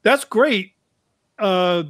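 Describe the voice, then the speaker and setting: A man's voice: sits high, drawn-out words, fairly bright tone, dry recording, studio quality. A middle-aged American man chatting casually as a podcast co-host. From United States. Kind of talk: casual chat. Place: indoor room, video call.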